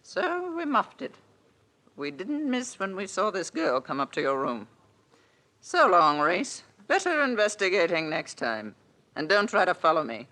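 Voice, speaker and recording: A hard-boiled middle-aged lady crook in a vintage radio thriller. She is blunt and commanding. Her delivery is sharp and animated, with big swings of pitch. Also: a little slow, full character voice. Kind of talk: old-time radio drama acting.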